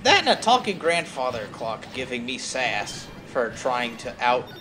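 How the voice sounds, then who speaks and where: A man chatting casually as he streams a game, telling stories haltingly and drifting off on tangents, with some noise in the recording. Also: medium pitch, big pitch swings. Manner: halting, tangent-prone storytelling. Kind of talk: casual rambling chat over gameplay.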